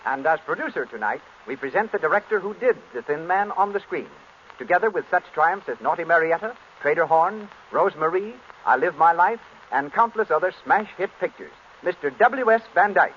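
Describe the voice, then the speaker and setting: A man's voice: on the high side, lively intonation, a little fast, background noise. A man doing formal, scripted announcing for a 1930s radio show. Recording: muffled 1930s radio recording. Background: music.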